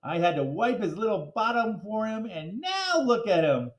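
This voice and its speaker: A man's voice: high voice, very animated, moderate pace, echoey room, voice pushed up, poor audio. A man reading aloud, voicing a weepy, overbearing older woman who gushes and blubbers over her son. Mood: blubbering. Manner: blubbering, gushing.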